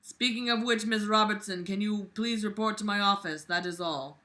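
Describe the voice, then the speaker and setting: A woman's voice: medium pitch, normal speed, bright, forceful tone, close to an everyday voice. A woman voicing a stern, authoritative male school principal from a novel, in a commanding, declamatory manner. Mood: stern, announcing.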